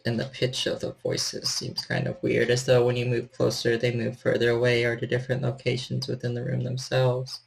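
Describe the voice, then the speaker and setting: Creaky-voiced man narrating evenly. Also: medium pitch, completely flat delivery, poor audio. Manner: measured, descriptive narration. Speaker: storyteller, game master. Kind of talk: narrating a horror role-playing game.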